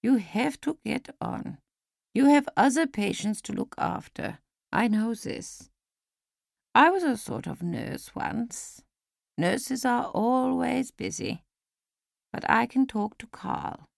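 A woman voicing a frail elderly woman who speaks formally and with gentle insistence, in an audiobook story. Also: fairly high pitch, lively intonation, creaky, no echo, studio quality, full character voice. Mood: kindly. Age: older. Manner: measured, formal, gently insistent speech with few contractions.

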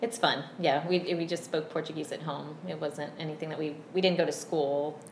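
A woman in her thirties from Virginia, chatting as a podcast guest in a friendly, unhurried way with many ums. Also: low voice, steady tone. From Virginia, USA. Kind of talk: casual interview chat. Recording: roomy echo, faint background hum.

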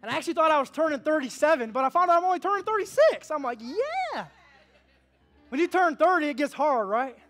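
Man in his thirties, pastor, high voice, lively preacher, faint music under him. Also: brisk. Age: 30s. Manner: animated preaching, swinging from hushed to loud; call-and-response with the congregation. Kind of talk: sermon.

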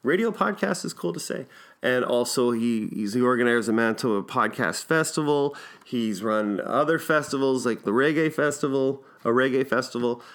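A man's voice: medium pitch, moderate pace, fairly bright tone. A man hosting a podcast in a casual, informal, enthusiastic and slangy way. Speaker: podcast host.

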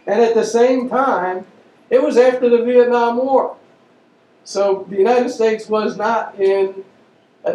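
Halting male lecturer, distant and echoey. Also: high voice, warm tone. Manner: halting, many fillers and restarts. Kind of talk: unscripted public lecture. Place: lecture room. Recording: dull, roomy, distant mic with faint noise.

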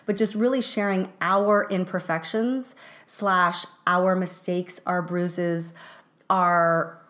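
A woman's voice: on the low side, fairly even intonation, slow, a bit bright. A middle-aged woman co-hosting a podcast, talking casually with many restarts and fillers. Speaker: podcast co-host.

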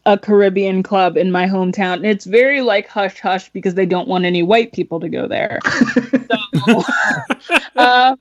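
A woman's voice: mid-range voice, moderate pace, dull audio. A young woman, a podcast guest, talking casually about films in a rambling way full of fillers and laughs. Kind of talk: casual film discussion.

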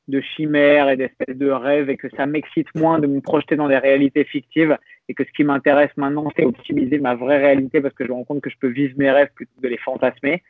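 Young man, slightly high voice, reflective and halting, thin phone-like sound. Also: gravelly, no echo. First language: French. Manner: reflective, hesitant with many restarts and fillers. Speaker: podcast guest. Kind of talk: casual conversational interview. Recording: dry, phone-like narrow sound.

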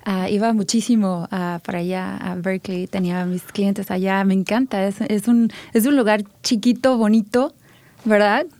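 A woman co-hosting a radio business show, chatting in a relaxed way with frequent "este" fillers. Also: mid-range voice, normal speed, no echo. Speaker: co-host. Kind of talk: casual radio chat.

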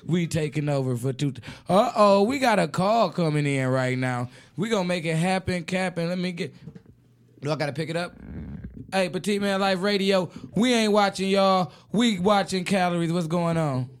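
A man with a raspy voice hosting a casual comedic radio show, joking and laughing often in slangy banter. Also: high voice, moderate pace.

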